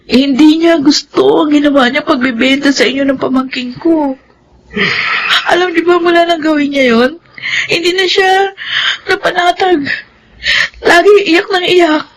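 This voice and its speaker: A woman playing a concerned, emotional, plain-spoken female relative in a Filipino radio drama, expressive and sympathetic. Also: high voice, normal speed, long pauses, low-quality recording. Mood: tearful, pleading.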